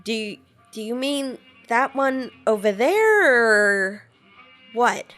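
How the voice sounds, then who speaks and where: A woman's voice: on the high side, animated, takes it slowly, fairly bright tone, soft background music. A young woman voicing her game character, asking questions hesitantly with fillers. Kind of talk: casual game voice-acting.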